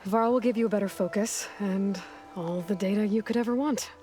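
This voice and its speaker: Woman playing young female hunter, blunt, determined, earnest game dialogue, loud music behind. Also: mid-range voice, brisk, close to an everyday voice. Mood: friendly, brisk. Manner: direct, earnest. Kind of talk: video game voice acting.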